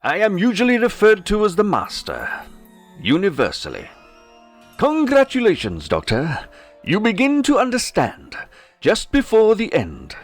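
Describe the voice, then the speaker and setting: A gloating, megalomaniac sci-fi villain, acted by a man. He is grandiose and suave, with a high voice that swoops widely. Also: huge pitch swings, unhurried, faint music behind, full character voice.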